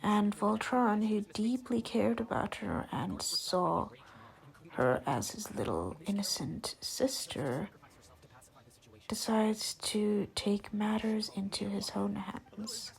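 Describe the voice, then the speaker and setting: A woman's voice: mid-range voice, animated, slow, gravelly, low-quality recording. A woman narrating a casual recap of a video game story.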